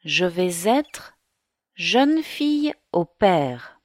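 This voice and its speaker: A woman's voice: fairly low pitch, sing-song, dry recording, clean studio sound, exaggerated voice. A woman reading as a friendly young Englishwoman. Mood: curious. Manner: slow, carefully articulated reading.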